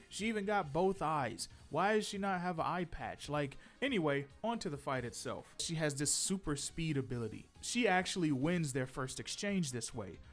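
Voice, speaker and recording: A man hosting a comic book video, reading scripted commentary in a conversational, opinionated way with joking asides. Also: fairly high pitch. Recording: music behind the voice. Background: background music.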